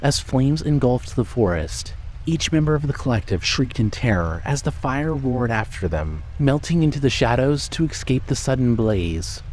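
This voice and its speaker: A man narrating dark fiction from a script in a dramatic storytelling style. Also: medium pitch, big pitch swings, normal speed, few pauses, a little hiss or hum, rough recording.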